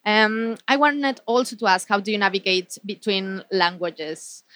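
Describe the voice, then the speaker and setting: A woman with a mid-pitched voice moderates a panel as a non-native English speaker. She muses and asks open questions informally. The sound is dry, with little room echo. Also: a little slow, fairly bright tone. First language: not English, a non-native English speaker.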